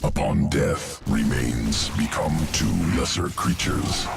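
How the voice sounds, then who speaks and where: A man's voice: extremely low pitch, slow pace, gravelly, heavily acted. A man voicing a cold, clinical male alien evolution master in a game cutscene, speaking in terse clipped fragments. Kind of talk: video game cutscene acting. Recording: distant game audio with loud music.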